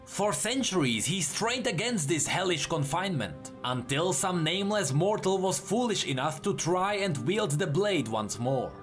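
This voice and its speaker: A man's voice: high voice, very animated, moderate pace, hardly any pauses, soft background music. A man narrating fantasy lore as scripted, dramatic storytelling, with explanatory asides.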